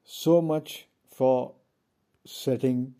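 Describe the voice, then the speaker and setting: A man from India giving a pointed, measured speech from a conference podium. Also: mid-range voice, steady tone, mellow. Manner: measured, pointed, with pauses between phrases. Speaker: conference speaker.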